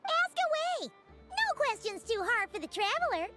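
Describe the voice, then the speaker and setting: A woman voicing a tiny, childlike, excitable floating companion in a video game, squeaky and exclamatory. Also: moderate pace. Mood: cocky. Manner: exclamatory, speaks of herself in third person. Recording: clean studio voice under loud music. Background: loud game music.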